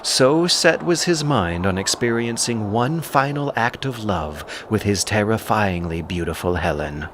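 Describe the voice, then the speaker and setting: A man's voice: fairly low pitch, lively intonation, a little slow, hardly any pauses. A man narrating an ancient epic as a dramatic, scripted audiobook.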